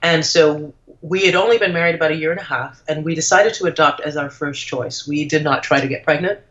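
A woman's voice: deep voice, brisk, a bit bright. A woman from Trinidad, a lawyer turned blogger, chatting reflectively as an interview guest and thinking aloud as she goes. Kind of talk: casual conversational interview. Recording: dull lo-fi audio.

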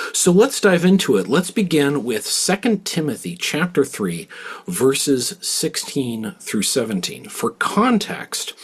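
A male podcast host with a fairly low voice and a distinctly husky grain. He speaks in an articulate, scholarly manner, setting out explanations at a measured, deliberate tempo. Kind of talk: podcast discussion and scripted explanatory narration.

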